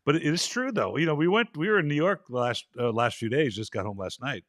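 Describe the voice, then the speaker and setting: A male host in a casual interview chat, a little raspy from travel, chatty and rambling. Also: fairly high pitch, expressive, fairly quick, no room sound, studio quality. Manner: chatty, rambling, laughs easily.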